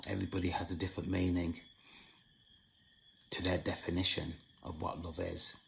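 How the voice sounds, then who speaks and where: A hoarse man hosting his own channel, giving a solemn spiritual monologue in a slow, halting way with long pauses. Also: deep voice, little pitch movement, fairly bright tone, a little hiss or hum, poor audio. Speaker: channel host.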